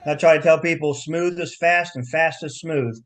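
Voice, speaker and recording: An older man, a longtime wrestling referee, explains his calls in casual talk. His voice sits slightly high for a man, and his answers come in halting bursts full of "you know"s and restarted words. He describes his voice as loud and carrying. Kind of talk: casual interview.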